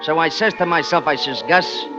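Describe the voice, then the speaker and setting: Bitter, wisecracking New working stiff played by a male actor, higher-pitched voice, scheming and slangy, old radio thriller with music behind. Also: normal speed, muffled recording, lightly acted. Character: bitter, scheming working-class former cab driver turned gag writer. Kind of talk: 1940s radio drama acting, first-person narration and dialogue.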